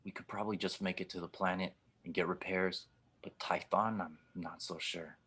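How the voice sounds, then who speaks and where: Low-pitched male actor as a space pilot, blunt, steady, protective, sci-fi audio drama. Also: normal speed, husky, heavily acted. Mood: grave, blunt. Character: gruff, steady older male starship pilot, protective of his companion. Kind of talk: audio drama table read.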